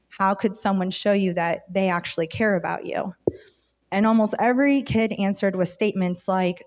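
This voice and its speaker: A woman gives a prepared testimony to her church as a volunteer. Her mid-pitched voice is slightly rough from a cold coming on, and she speaks sincerely and earnestly. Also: darkish tone. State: slightly rough voice from oncoming illness.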